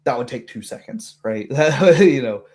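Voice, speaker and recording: A man's voice: medium pitch, expressive, unhurried, a little mellow. A man chatting casually about a roleplaying game as its game master, hesitant and searching for words.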